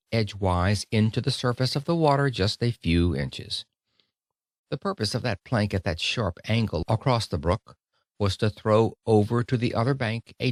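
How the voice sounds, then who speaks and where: A man reading an audiobook of a speech in an expressive storytelling manner, with dramatic pauses. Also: fairly low pitch, dry recording, studio quality. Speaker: audiobook narrator. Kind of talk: scripted audiobook narration of a speech.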